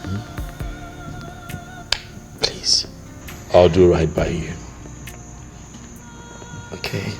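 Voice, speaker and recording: An actor playing a remorseful young king softly pleading with his wife. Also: low voice, steady tone, very slow, pauses often, faint music behind, exaggerated voice, low-quality recording. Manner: soft, pleading.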